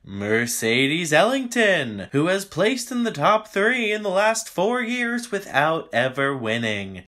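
A man's voice: high-pitched, big pitch swings, takes it slowly, bright, forceful tone, heavily acted. A man playing a slick TV contest host in an audio drama, with grand announcer delivery. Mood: showy, upbeat. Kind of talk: audio drama acting as game-show announcer.

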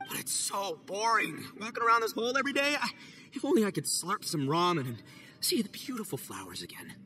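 A man voicing a rowdy, brash young gang boss in a game, loud and slangy. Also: high-pitched, very animated, fairly quick, soft background music, exaggerated voice. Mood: restless. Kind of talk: video game cutscene voice acting.

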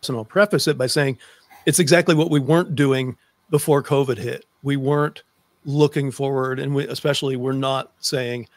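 A man's voice: medium pitch, fairly even intonation, normal speed, dry recording. A middle-aged man with an American accent, hosting a conversational interview into a close desk microphone. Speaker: host. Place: book-lined room indoors, on a video call.

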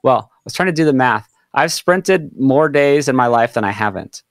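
A man in his thirties from Hawaii talks eagerly into a studio mic, his pitch sitting mid-range for a man. He repeats words and restarts his sentences as he talks. The sound is close and dry. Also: lively intonation, normal speed, smooth, no room sound. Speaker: podcast host. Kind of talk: casual interview chat. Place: home studio. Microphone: studio mic on boom.

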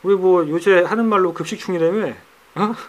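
A middle-aged man with a hoarse, cracking voice, talking casually in a how-to vlog about his pets, recorded on a handheld phone indoors. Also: high voice, brisk. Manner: chatty, teasing, drops into banter. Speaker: pet keeper vlogger. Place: indoors among pet enclosures.

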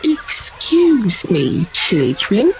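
An eerie phantom witch in an audio horror drama. She draws out her menacing words very slowly, swooping up and down, with music behind her. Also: mid-range voice, huge pitch swings, full character voice, low-quality recording. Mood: eerie menace. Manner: slow, drawn-out menace.

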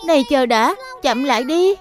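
Woman voicing a high-pitched, eager little cartoon bear cub. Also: animated, normal speed. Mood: eager, determined. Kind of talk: children's cartoon dub. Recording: music under the voice. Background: music.

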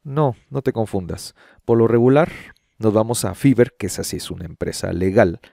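A man's voice: on the low side, moderate pace, gravelly, mellow, dry recording. A middle-aged man hosting a video, explaining things casually and speaking directly to the viewer into a close studio microphone. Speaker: YouTube host. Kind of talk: explanatory screen-share commentary, casual. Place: small home room with shelves and framed pictures. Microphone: close studio condenser microphone on boom arm.

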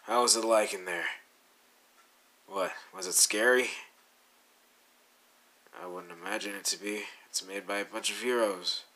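A man voicing a possessive young male villain in a fan audio roleplay, speaking softly and drawling, both teasing and worried. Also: lowish voice, big pitch swings, measured pace, creaky, long pauses, heavily acted.

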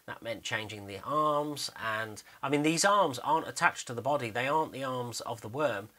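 A man with a very bright, forward voice at an average pitch talks through a screen recording of his animation work. He is casual and unscripted, rambling and hesitant as he explains, in a modest, self-deprecating way. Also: normal speed. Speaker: animator and video narrator.

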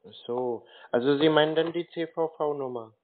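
A man's voice: on the high side, fairly quick. Hesitant man on a tinny phone line. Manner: naive, hesitant, stalling. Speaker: prank caller answering phone scammers. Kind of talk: slow, confused-sounding phone conversation.

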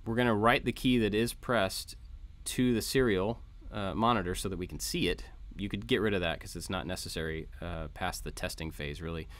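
Male tutorial host, low voice, informal step-by-step screencast narration. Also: fairly quick, few pauses, a bit bright, no echo. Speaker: host of a maker tutorial channel. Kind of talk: informal code walkthrough narration. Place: voice-over for a screen recording.

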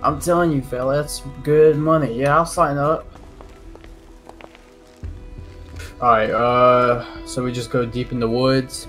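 A man acts out an easily spooked novice lumberjack in a game roleplay. He talks in a hesitant, repetitive stammer at a middling pitch, with faint music behind him. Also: flat delivery, normal speed, a bit bright, natural voice. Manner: hesitant, stammering, repeats words. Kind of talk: improvised online game roleplay.

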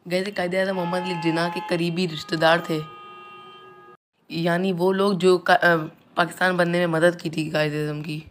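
A man's voice: sits high, a bit bright. Male vlogger, casual tour-guide chatter, phone-camera audio in a marble crypt. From Pakistan. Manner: chatty tour guide, keeps addressing viewers as "guys". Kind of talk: casual vlog tour narration.

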